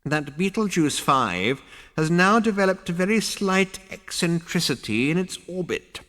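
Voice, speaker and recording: A man voicing a tiny, ancient two-headed male ghost who snaps and scolds in a rasping, screechy voice. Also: high voice, sing-song, measured pace, fairly bright tone, in a large hall, voice pushed up, exaggerated voice. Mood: scolding. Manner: snappish, disdainful scolding.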